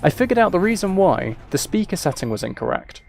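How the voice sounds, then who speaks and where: A man's voice: sits high, big pitch swings, brisk, creaky, a little mellow, soft background music. A man chatting casually as he hosts a livestream, rambling and thinking aloud. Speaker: streamer.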